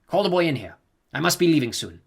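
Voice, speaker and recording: Brief: male narrator playing a high, loud, scolding old matriarch, all command. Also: big pitch swings, quick pace, vocal fry, slightly rough, full character voice. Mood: probing. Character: stern imperious old woman, a reverend mother. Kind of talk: audiobook character reading.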